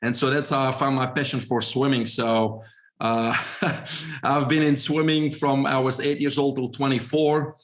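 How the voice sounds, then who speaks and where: A man in his forties from Belgium with a Belgian accent, a podcast guest who tells his story in a relaxed way with frequent fillers. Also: medium pitch, a little slow. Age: 40s. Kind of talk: podcast interview.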